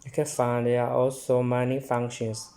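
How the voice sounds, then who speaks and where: A man giving a hands-on product demonstration in halting, short phrases as he reads items out. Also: medium pitch, monotone, warm tone.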